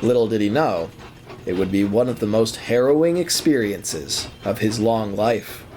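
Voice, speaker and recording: A man's voice: lowish voice, moderate pace, darkish tone, slight background noise. A man narrating an audio drama in a scripted, dramatic storybook style.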